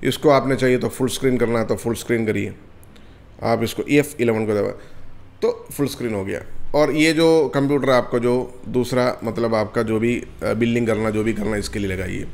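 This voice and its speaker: A man demonstrating software step by step in a casual tutorial, often calling viewers friends and checking in with them as he goes. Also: mid-range voice, moderate pace, creaky. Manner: repetitive, addresses viewers as friends, many fillers. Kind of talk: screen-recorded software tutorial.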